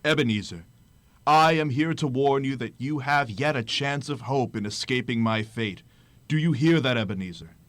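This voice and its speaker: A man's voice: mid-range voice, no room sound, close to an everyday voice. Guy doing a sad commanding ghost in a radio play, solemn, draws words out, dry close sound. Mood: grave warning. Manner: solemn, declamatory, drawn-out words. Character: mournful, commanding ghost of a dead male businessman. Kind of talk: radio drama acting.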